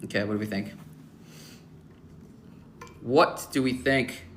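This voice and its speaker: A man's voice: mid-range voice, takes it slowly, fairly bright tone, a little hiss or hum. A young man hosting a casual livestream, giving blunt, offhand verdicts on viewers' photos with lots of fillers and teasing jokes at the chat. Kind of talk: casual live-stream chat.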